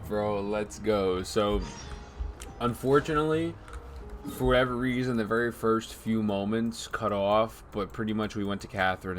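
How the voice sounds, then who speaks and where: A young man giving casual game reaction commentary as a streamer, slangy and informal. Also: lowish voice.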